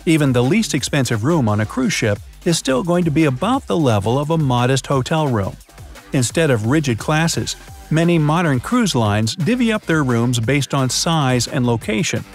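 Man narrating an explainer over soft music. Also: mid-range voice. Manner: playful asides and jokes. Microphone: studio voice-over. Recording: faint background music.

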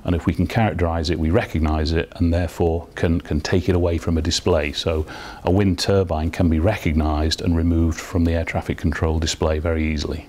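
Very deep-voiced man explaining technology. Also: little pitch movement, fairly quick, darkish tone. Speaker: technology company spokesman. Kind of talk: explanatory interview.

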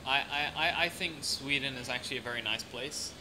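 A man's voice: mid-range voice, brisk. A young adult man with a mixed international, lightly British accent, chatting casually as an interview guest. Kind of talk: casual chat. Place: café or restaurant at night. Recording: clearly noisy room ambience.

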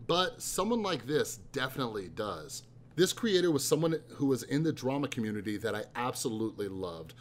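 Guy in his thirties reading his book chapter out loud, calm and low-key, mid pitch. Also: a little hiss or hum. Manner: steady, subdued reading. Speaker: author.

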